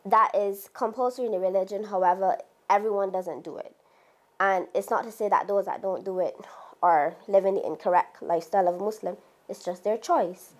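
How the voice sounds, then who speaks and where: A young woman with a Barbadian accent gives a personal interview. Her voice sits at an average pitch for a woman. She speaks in the manner of someone describing her own life on camera. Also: fairly quick. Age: young adult.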